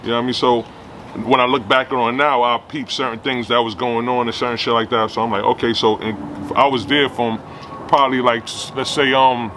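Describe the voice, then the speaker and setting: A man's voice: mid-range voice, level tone, fairly quick, a bit bright, slight background noise. A man from Trinidad, a guest tattoo artist, telling his story in casual chat, laid back and peppering his talk with "you know what I mean". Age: adult with own family.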